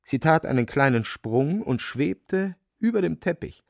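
A man narrating a novel as dramatic literary storytelling, expressive and vivid. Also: fairly high pitch, unhurried, gravelly, no room sound, clean studio sound.

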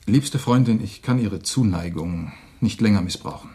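Low, husky, sorrowful young lover. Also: male voice, dark tone. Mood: resigned, tender. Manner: tender, halting, formal. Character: poor, devoted, gullible young lover, sorrowful and selfless. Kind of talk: German radio play acting.

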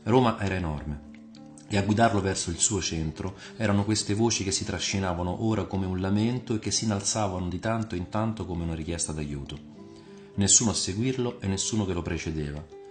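A man reading a scripted literary historical tale as narrator, in a measured, dramatic storytelling manner. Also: sits low. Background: music under the voice.

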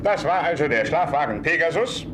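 Loud train noise runs under an actor as a radio-drama narrator. The male voice is fairly high and animated, declaiming the journey like an announcement. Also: fairly quick, close to an everyday voice. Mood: announcing.

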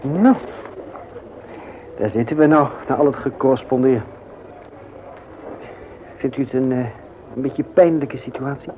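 An actor playing a courteous, gently flirtatious single father in a radio play, polite, bantering and slightly hesitant. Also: mid-range voice, measured pace, pauses often, close to an everyday voice. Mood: polite, slightly nervous. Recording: dull old radio recording with noise.

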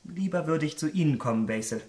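Schauspieler als junger, eleganter Herr, helle Männerstimme. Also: male voice, on the high side, animated, fast, darkish tone, close to an everyday voice. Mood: soothing, light. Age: young adult. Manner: polished, formal diction. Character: elegant, secretive young gentleman, charming but guarded. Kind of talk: German radio drama acting.

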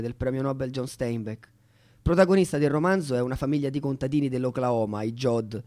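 A man's voice: medium pitch, darkish tone. Man narrating a scripted stage piece. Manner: deliberate, storytelling phrasing.

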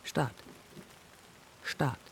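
An actress playing a proud, embittered dead woman aviator in a poetic radio play, speaking in terse, measured fragments. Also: slight background noise. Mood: incantatory. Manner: measured, terse, fragmented phrasing with deliberate pauses.

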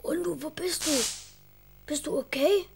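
A woman's voice: high-pitched, fairly even intonation, measured pace, gravelly, background noise. A woman playing an earnest, caring little boy who coaxes and frets in a children's radio drama. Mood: anxious, hushed.

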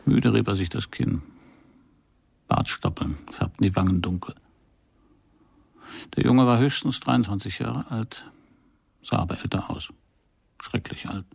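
An actor playing a wry, world-weary private detective in a noir radio play, with a dry, sardonic delivery. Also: male voice, fairly low pitch, measured pace, vocal fry, long pauses, a little mellow, slightly rough, no room sound, exaggerated voice. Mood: dry, observant. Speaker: narrator and lead of a crime radio play. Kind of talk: first-person noir radio drama narration.

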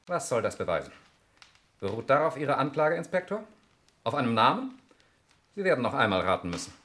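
An actor playing a cornered, defiant murder suspect in a 1960s German radio crime play, with a sardonic manner. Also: male voice, medium pitch, sing-song, brisk, a bit bright. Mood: defiant, indignant. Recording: noisy old 1960s radio recording.

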